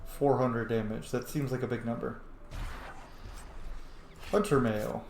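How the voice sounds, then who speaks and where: Guy doing a casual let's play, playful and sweary, mid pitched voice, really echoey room. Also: fairly even intonation, measured pace, vocal fry, darkish tone. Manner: playful, informal, swearing, muttering to self. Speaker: gaming video host. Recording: echoey room with faint noise.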